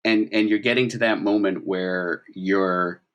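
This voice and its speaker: A man's voice: sits low, fairly even intonation, measured pace, lo-fi sound. A man working as game master, narrating a tabletop role-playing game conversationally and prodding players with questions.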